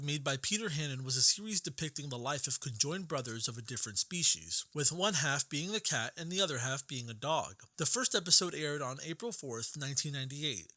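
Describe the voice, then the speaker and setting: Casting: young male video essayist, very bright forceful tone, animated intonation, scripted documentary read. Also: a little high, no echo. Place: close, dry voice-over recording.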